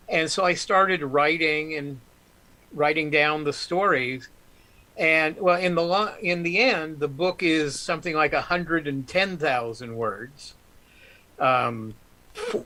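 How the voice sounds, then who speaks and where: A man's voice: fairly high pitch, sharp tone, poor audio. A man guest author telling stories on a live stream, rambling with many ums and restarts. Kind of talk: casual storytelling on a live stream.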